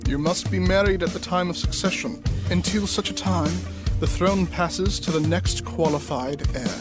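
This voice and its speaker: Actress doing smug plotting royal relative, slightly deep, talks down to you, loud music under it. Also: female voice, natural voice. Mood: smug, condescending. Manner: measured, condescending. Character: smug, scheming royal relative after the throne. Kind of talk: comedic audio drama acting.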